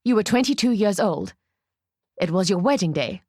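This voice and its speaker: A woman voicing a weary, exasperated old woman with a gruff edge, in a dramatic story reading. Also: mid-range voice, expressive, normal speed, warm tone, no echo, clean studio sound, lightly acted. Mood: pleading, insistent.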